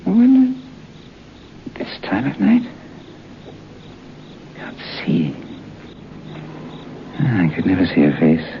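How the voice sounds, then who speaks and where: An actor playing a restless, romantic writer who muses haltingly aloud in an old-time radio drama. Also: male voice, low-pitched, wildly swooping pitch, heavily acted. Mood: brooding musing. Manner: musing aloud, halting, talking to himself. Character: restless English writer on holiday, romantic, brooding. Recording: muffled noisy old radio broadcast.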